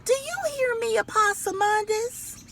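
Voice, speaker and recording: Woman playing a high-pitched exasperated mama possum. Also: vocal fry, a bit bright, slight background noise, heavily acted. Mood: exasperated, scolding. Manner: drawn-out, emphatic scolding.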